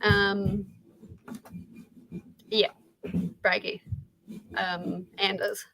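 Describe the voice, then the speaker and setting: A woman's voice: mid-range voice, flat delivery, very slow, gravelly, fairly bright tone, rough recording. A woman hosting a livestream, chatting casually. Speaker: host of a livestream.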